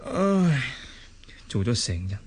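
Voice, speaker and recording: A man's voice: mid-range voice, very animated, slow pace, slight background noise. An actor playing a tired father home from work, weary and offhand, with a Hong Kong Cantonese accent in radio drama style.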